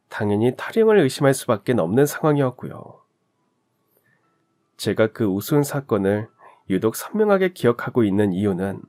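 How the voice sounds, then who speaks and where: A man's voice: medium pitch, darkish tone. A man narrating a true-life story in a radio-drama style, talking to listeners in a conversational way. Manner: conversational, addressing listeners directly.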